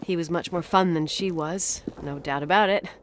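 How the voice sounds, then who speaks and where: A woman's voice: fairly low pitch, normal speed, slight background noise, lightly acted. A woman playing a wry, guarded character in a game, speaking in a hesitant, dryly sarcastic, naturalistic conversational way. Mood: reflective, wry. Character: wry, guarded adult woman recalling her childhood.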